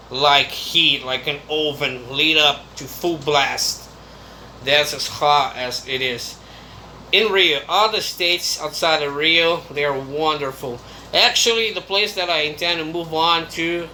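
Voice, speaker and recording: A Brazilian man speaking English with a Brazilian Portuguese accent, talking slowly and rambling casually to his viewers. His voice is strikingly bright and fairly high, with a faint hiss of noise behind him. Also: poor audio. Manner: rambling, chatty, with restarts and laughs. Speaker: vlogger. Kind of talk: casual monologue to camera.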